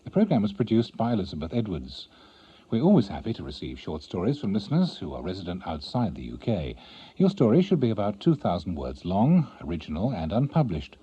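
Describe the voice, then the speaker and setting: A man's voice: fairly low pitch, wildly swooping pitch, a little fast, dark tone, slightly rough, lo-fi sound. A man making a scripted radio announcement. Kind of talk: scripted programme credits announcement.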